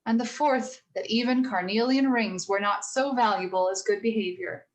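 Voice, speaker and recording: A woman playing a gentle, earnest mother of four girls, telling stories in a measured, sincere way for a radio play rehearsal. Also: medium pitch, natural voice. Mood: gently instructive. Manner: measured, sincere moral storytelling.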